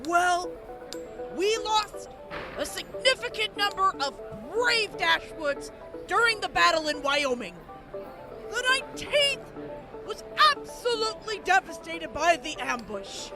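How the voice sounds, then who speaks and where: A woman playing a high-voiced earnest army major. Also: big pitch swings, loud music behind the voice, full character voice. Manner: measured, earnest. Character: composed female army major. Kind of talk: audio drama acting.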